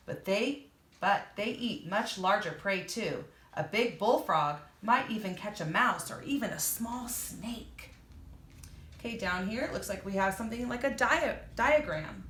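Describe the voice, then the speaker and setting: In an echoey room, a woman with a mid-pitched voice reads nonfiction aloud for young children. She talks straight to her listeners like a teacher, stepping out of the text to explain things simply. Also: expressive, measured pace, fairly bright tone, a little hiss or hum.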